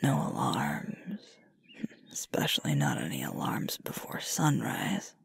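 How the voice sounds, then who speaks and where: A woman's voice: a bass voice, wildly swooping pitch, no room sound, exaggerated voice. A woman playing a sweet, sleepy, affectionate girlfriend in an intimate ASMR roleplay, murmuring tenderly close to the microphone. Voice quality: breathy, whispery. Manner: slow, drowsy, tender murmuring. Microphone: close microphone, dry.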